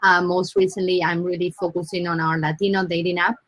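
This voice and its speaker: A woman from Argentina with an Argentine accent, introducing herself as a marketing panelist on a video call, relaxed, with fillers. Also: fairly low pitch, brisk, slight background noise.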